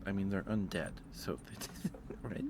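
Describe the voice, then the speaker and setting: A man's voice: deep voice, slow pace, background noise. A man streaming casual ASMR chat, thinking aloud in a hesitant, informal way.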